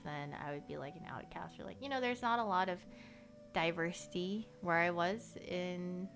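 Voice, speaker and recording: A young woman whose first language is Korean, livestreaming casual chat with viewers. She rambles, fills her talk with "like," and laughs often. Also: fairly low pitch.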